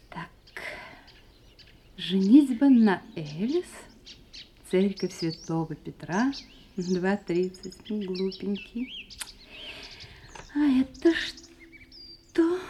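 An actress playing an affectionate, anxious young wife in an audio play, with expressive theatrical delivery. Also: female voice, medium pitch, slight background noise. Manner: expressive theatrical delivery, swinging from playful teasing to uneasy hushed asides. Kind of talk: Russian audio play acting.

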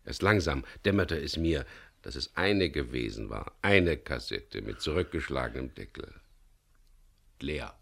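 An actor playing a composed, courteous leader of a risky venture in a radio play, explaining things in a measured way. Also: male voice, very deep voice, lively intonation, creaky, a bit bright, slightly rough, exaggerated voice. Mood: grave. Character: composed man, leader of a diamond salvage venture. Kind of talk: 1970s German radio play acting.

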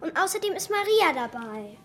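Bossy, whining little girl, very high, animated voice, radio drama, faint background noise. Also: normal speed, exaggerated voice.

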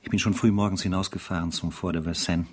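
A foreboding, reflective officer narrates his diary for a radio play. The voice is deep and slightly rough, solemn and measured with weighty pauses. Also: steady tone.

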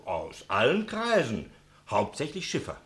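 An actor in a German radio drama playing an obliging, somewhat servile innkeeper of a riverside tavern. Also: male voice, mid-range voice, huge pitch swings, unhurried, a bit bright.

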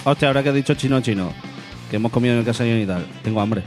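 A man's voice: medium pitch, rapid-fire, music in the background. A man co-hosting a casual podcast, teasing the others and laughing often. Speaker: podcast co-host. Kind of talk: casual chat.

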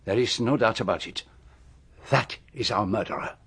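An actor playing a courteous, commanding middle-aged Belgian detective in a radio drama, with a French-speaking accent and deliberate, theatrical delivery. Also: male voice, on the low side, fairly bright tone, slightly rough. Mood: assured, philosophical.

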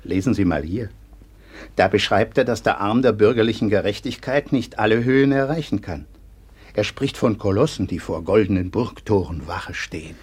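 A man's voice: on the low side, normal speed, a little mellow, husky. A man playing an earnest, dutiful teacher and guardian in a radio play, worried and exasperated. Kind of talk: 1960s German radio play acting.

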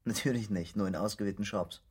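An actor playing an earnest, rambling video creator being interviewed in a radio drama, with a husky voice. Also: male voice, fairly low pitch, fast. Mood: earnest, self-deprecating.

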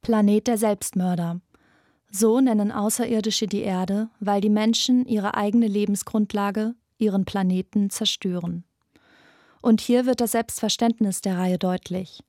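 A woman's voice: medium pitch, steady tone, a little slow, mellow, dry recording, studio quality. A woman presenting a podcast in scripted documentary narration.

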